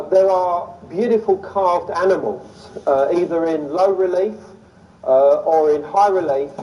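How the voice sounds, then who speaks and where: London man lecturing, distant from the mic. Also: sits high. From London, England. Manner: enthusiastic, easily excited. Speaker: lecturer on ancient sites. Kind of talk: illustrated public lecture.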